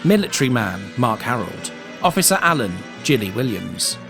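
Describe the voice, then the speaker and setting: A man's voice: mid-range voice, very animated, moderate pace, gravelly. A man announcing the credits of an audio drama in a formal, orderly scripted read. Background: music.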